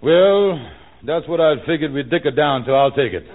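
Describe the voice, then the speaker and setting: An actor playing a scheming, smooth-talking lodge leader in a folksy, wheedling, mock-solicitous manner, in 1940s radio comedy style. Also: male voice, on the high side, moderate pace, close to an everyday voice. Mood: businesslike. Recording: narrow, tinny vintage radio recording.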